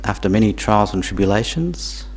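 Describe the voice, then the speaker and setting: A man born in Tanzania, teaching Buddhism to a small group in a halting, repetitive way, with questions for the audience. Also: fairly low pitch, animated, slightly rough. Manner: halting, repeats words, asks the audience questions. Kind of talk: dharma talk to a small group.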